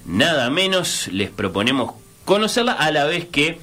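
A man's voice: a little high, sing-song, moderate pace, bright, forceful tone. A man with a Uruguayan accent hosting a radio interview, hesitant with many filler sounds and restarts.

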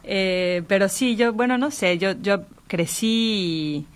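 A woman's voice: mid-range voice, slow. A middle-aged Mexican woman speaking as a guest in a reflective literary conversation, articulate and thoughtful. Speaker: interviewee, writer.

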